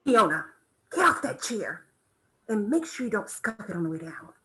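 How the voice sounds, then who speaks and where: A woman plays a bossy, protective young mother in a screenplay read. Her voice is sharp-edged and raspy, at an average pitch. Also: big pitch swings, moderate pace, heavily acted. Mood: stern. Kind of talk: table read of a drama screenplay.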